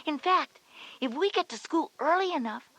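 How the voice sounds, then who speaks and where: A woman's voice: sits high, animated, moderate pace, a bit bright, no echo. A woman playing a confident schoolgirl big sister who explains things reassuringly in a scripted children's audio drama. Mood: reassuring, bossy.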